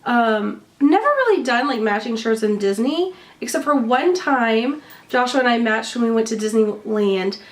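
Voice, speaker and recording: A woman with a somewhat high voice talks casually to her viewers, cheerful and rambling with plenty of ums. Her nose is stuffy from a recent bronchitis. She sits a little back from the microphone. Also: lively intonation, normal speed, distant mic. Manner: chatty, lots of ums, cheerful. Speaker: vlogger showing a shopping haul. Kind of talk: casual chat to camera.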